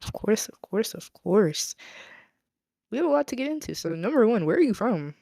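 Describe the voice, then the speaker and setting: Chatty American woman host with gravelly fry. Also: fairly high pitch, sing-song, moderate pace, mellow, no echo, clean studio sound. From United States. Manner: chatty, upbeat, slangy. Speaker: podcast host. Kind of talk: casual interview chat.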